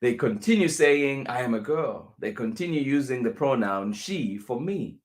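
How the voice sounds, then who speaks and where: A man reading a children's picture book aloud as a teacher would, deliberately, with pauses between phrases. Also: medium pitch, expressive.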